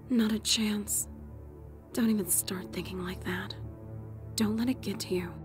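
A woman playing a guarded, cynical female agent in an audiobook, with a cool, hard-edged and often hushed delivery. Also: medium pitch, fairly even intonation, measured pace, music in the background, close to an everyday voice. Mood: stern, self-chiding. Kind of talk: dramatised audiobook acting.

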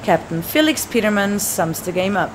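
A woman's voice: mid-range voice, lively intonation, moderate pace, noisy background. A woman reading a scripted sports report as a reporter narrating a game recap.

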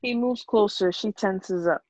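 A woman's voice: mid-range voice, unhurried, a little mellow, no room sound. Woman reading stage directions, dull lo-fi sound. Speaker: table read narrator reading stage directions. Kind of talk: scripted narration read aloud. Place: online video call. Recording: dull lo-fi video call audio.

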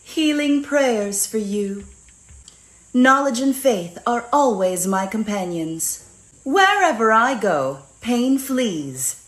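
A woman voicing a kind, devout young female healer from a video game, gentle and sweet. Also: a little high, animated, slow pace, roomy sound, voice pushed up, poor audio. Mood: gentle, uplifting. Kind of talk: video game character lines.

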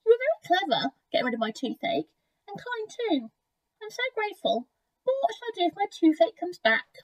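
A woman's voice: very high voice, sing-song, a little fast, far from the mic, pitched above the performer's own voice, poor audio. A woman voicing a big, selfish male crocodile in a children's storybook read aloud. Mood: grateful.

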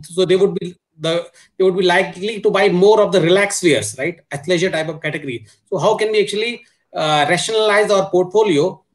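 Indian guy, middle-aged, pretty high voice, talking business on a video call, repeats filler words a lot. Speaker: panelist, supply chain executive. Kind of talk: explanatory business panel talk. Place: indoor room on a video call.

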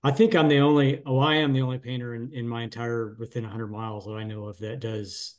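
A man's voice: medium pitch, little pitch movement, fast talker. Rambling middle-aged man on a video call. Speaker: painting business owner. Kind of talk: casual chat on a group coaching call. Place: small room at home.

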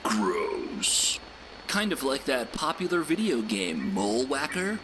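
A man's voice: mid-range voice, big pitch swings, slow, noisy background. A man playing a bland, awkward late-night radio host in a video game, delivering talk show patter. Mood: salesy. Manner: bland, mild, self-doubting.

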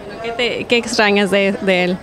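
Woman sports reporter, medium pitch, friendly off-camera questions, handheld mic. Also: fairly even intonation, normal speed, crowd noise. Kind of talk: asking interview questions. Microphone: handheld mic held to guests, off camera.

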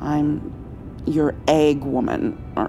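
A woman acting as a caring mom in a comfort ASMR roleplay. Her voice is mellow and a little low, with a lot of creak. She is gentle and playful, speaking tenderly as if to her grown child. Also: steady tone, soft background music. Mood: wry, affectionate. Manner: gentle, halting, soothing, tender endearments. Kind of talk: intimate comfort ASMR roleplay, acted as one side of a conversation.

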